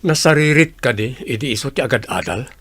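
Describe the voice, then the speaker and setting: Man acting as young construction worker, curious, easygoing, average pitch, audio drama dialogue. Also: animated, brisk, a bit bright, husky.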